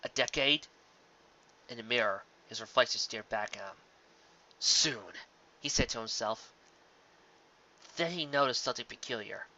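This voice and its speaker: Amateur audiobook narrator, mid-pitched and forceful, measured dramatic delivery, dull lo-fi sound. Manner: measured, occasionally stumbling over words. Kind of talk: scripted fan fiction narration, dramatic reading.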